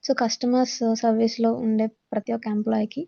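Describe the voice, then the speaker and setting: Woman, Telugu accent, teacher, lecture style, code-switching with English, mellow tone, creaky voice, muffled recording. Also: fairly high pitch, little pitch movement, fast talker. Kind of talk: classroom-style lecture, reading English notes and explaining them.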